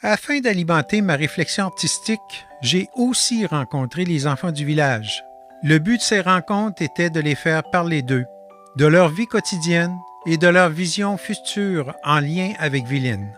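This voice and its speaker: Calm Quebec-accented man narrating. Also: on the high side, moderate pace, faint music behind. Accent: Quebec French. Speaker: visiting artist narrating his residency. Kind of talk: scripted documentary voice-over.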